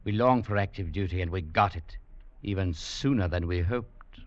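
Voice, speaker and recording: An actor playing a weary young legionnaire, gravely and eloquently narrating desert hardship in a 1940s radio drama. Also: male voice, sits low, normal speed, no echo, natural voice. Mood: grim resolve. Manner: grave, literate storytelling. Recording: muffled vintage radio recording, music behind.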